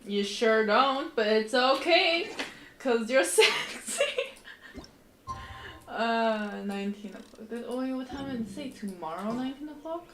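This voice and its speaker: A woman's voice: a little high, animated, a little slow, fairly bright tone. A woman chatting casually as she streams and comments on a video game. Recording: echoey room. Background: game music.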